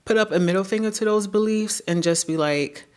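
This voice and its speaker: Deep-voiced woman, earnest and measured. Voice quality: naturally deep voice. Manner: earnest, measured, often tags sentences with "right?". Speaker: YouTube creator. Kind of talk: reflective talking-head commentary.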